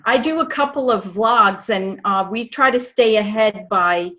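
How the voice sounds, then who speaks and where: A woman's voice: medium pitch, unhurried, sharp tone, lo-fi sound. A woman participant on a video call, chatty and encouraging. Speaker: participant, vlogger.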